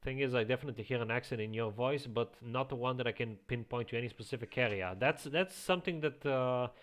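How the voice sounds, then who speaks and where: A man with a slight Eastern European accent, chatting casually as a streamer, reading chat aloud and chuckling often into a quiet home microphone. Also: mid-range voice, fast. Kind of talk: casual live-stream chat while playing.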